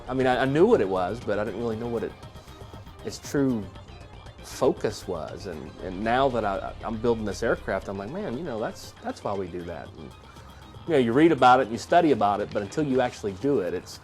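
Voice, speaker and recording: A man talking casually in an interview from his home garage, halting with many restarts. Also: on the low side, expressive, a little mellow, faint music behind. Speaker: interviewee, engineer building a kit plane.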